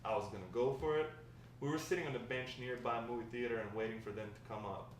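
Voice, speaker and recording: Grandfather role, read by a man: fond, halting storyteller at a table read, slightly higher male voice, distant mic. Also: steady tone, natural voice. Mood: fond, nostalgic. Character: grandfather fondly recounting his wild, lovestruck youth. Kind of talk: table read of a dramatic script. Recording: echoey room, distant mic, faint noise.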